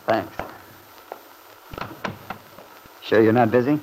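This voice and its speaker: Urbane, low-voiced bachelor of radio drama acted. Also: male voice, fairly quick, a little mellow, natural voice, poor audio. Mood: sociable. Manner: urbane, wry, wordy. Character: urbane bachelor man about town, wry, sociable friend. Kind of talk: old-time radio drama acting.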